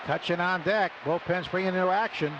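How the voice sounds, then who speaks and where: A man calling a baseball game play by play on live radio in clipped, deliberate phrases. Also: high voice, dull audio. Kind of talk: live radio sports commentary with sponsor reads. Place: stadium broadcast booth. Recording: noisy live ballpark radio broadcast.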